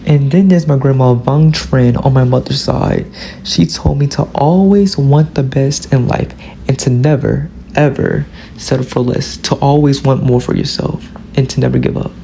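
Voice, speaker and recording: Young guy in his 20s reading his class project out loud, gravelly, mid pitch. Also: moderate pace, a little hiss or hum. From Louisiana, US. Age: early 20s. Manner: steady reading of a prepared script. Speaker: student presenter. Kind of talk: class presentation.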